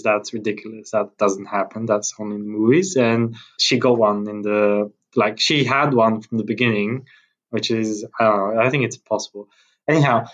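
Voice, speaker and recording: A man's voice: on the low side, highs cut off. A man in his thirties from Bulgaria, a native Bulgarian speaker, chatting as a podcast guest in an informal, hesitant, rambling way. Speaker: podcast guest, tech founder. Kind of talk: casual conversation.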